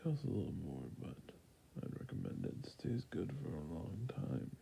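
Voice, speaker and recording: A man playing a friendly, patient fantasy shopkeeper in an ASMR roleplay, speaking in a soft, breathy near-whisper with creaky endings and a gentle sales manner. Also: sits low, huge pitch swings, moderate pace, a little hiss or hum, pitched below the performer's own voice, heavily acted. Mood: soft, helpful.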